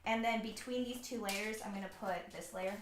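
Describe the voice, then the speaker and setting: A woman's voice: mid-range voice, steady tone, brisk, a little hiss or hum. A woman chatting casually as she shows and explains a garment she is making, talking while she works. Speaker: fashion designer. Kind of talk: casual chat while demonstrating. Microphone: shared microphone.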